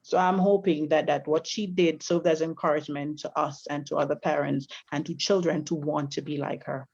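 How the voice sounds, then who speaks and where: A man from Grenada with a voice that sits a little high speaks earnestly and reflectively on a video call. He often stops and restarts. His audio is slightly muffled. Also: steady tone, normal speed, gravelly, a little mellow, husky. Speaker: Grenada Day committee member. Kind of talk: panel discussion on a video call.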